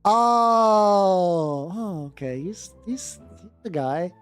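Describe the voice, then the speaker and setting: Animated woman streamer, rambling over background music. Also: medium pitch, drawn-out words, smooth. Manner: rambling, stammering, repeats words and breaks off to comment. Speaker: vtuber streamer host. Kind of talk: casual livestream chat mixed with reading fan fiction aloud.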